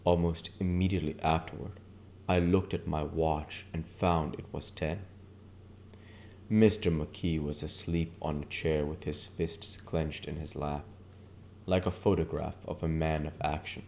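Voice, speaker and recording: A man's voice: extremely low pitch, fairly even intonation, unhurried, vocal fry, darkish tone, a little hiss or hum. A man reading literary prose aloud as an audiobook narrator and channel host.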